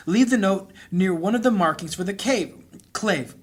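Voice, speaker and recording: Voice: medium pitch, lively intonation, normal speed, husky. An actor playing an anxious, honest priest, urgent and earnest, in a fantasy audio drama. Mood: explaining.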